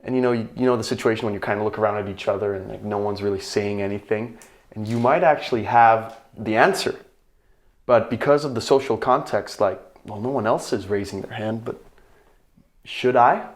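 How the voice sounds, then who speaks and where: A man's voice: on the low side, a little fast. A Danish man speaking English as a second language, a guest on a podcast, talking in a reflective way, searching for words and laughing easily. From Denmark. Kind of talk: casual conversation.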